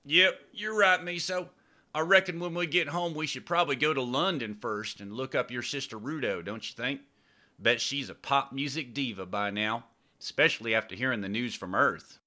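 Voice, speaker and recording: A man's voice: medium pitch, moderate pace, pushed and bright, pitched above the performer's own voice. A man playing an easygoing, joking young spaceman with a faded Texas accent and folksy manner in an audiobook. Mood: reassuring, playful. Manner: folksy, laid-back, joking. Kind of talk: audiobook character dialogue.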